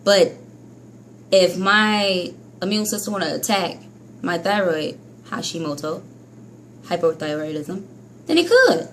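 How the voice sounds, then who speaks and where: A woman's voice: expressive, measured pace, long pauses, a little hiss or hum. Chatty woman vlogger scolding herself. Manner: chatty, scolds herself, jumps between high and low pitch. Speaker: hair care vlogger. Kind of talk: casual vlog monologue to viewers.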